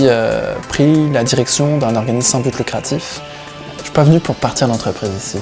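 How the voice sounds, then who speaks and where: A man from the Paris region, telling about his own work and life in a relaxed interview account. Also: medium pitch. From Paris region, France, with Breton roots. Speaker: seaweed harvesting diver and business owner, interviewee.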